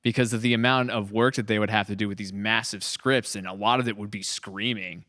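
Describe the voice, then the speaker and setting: A man hosting a casual podcast chat, upbeat and chatty, jumping into animated quoted voices. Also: fairly low pitch, a little fast, no room sound, clean studio sound. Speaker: podcast host.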